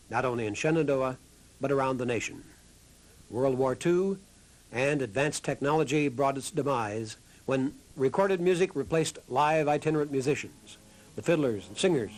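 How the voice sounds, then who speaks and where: A man reading scripted documentary narration in a measured, earnest manner. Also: a little high, poor audio.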